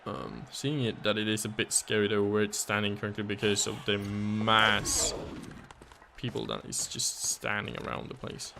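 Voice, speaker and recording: A man casting a live video game match, his voice raspy and hoarse, thinking aloud through the plays. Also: on the low side, steady tone, normal speed, background noise. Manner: analytical, thinking aloud, thanks viewers. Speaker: game caster.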